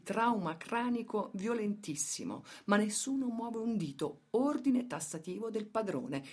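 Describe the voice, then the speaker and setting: A woman acting as a grave storyteller in radio theatre, with measured phrasing and dramatic emphasis. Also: medium pitch. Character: grave female storyteller recounting workers' tragedies. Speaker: stage and radio actress.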